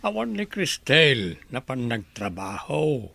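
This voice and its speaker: An actor playing an older, reproachful husband in a radio drama, with an Ilocano Filipino accent. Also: male voice, medium pitch, sing-song, takes it slowly, vocal fry, pushed and bright, dry recording, heavily acted. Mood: concerned. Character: older husband scolding his heartless wife, protective of child.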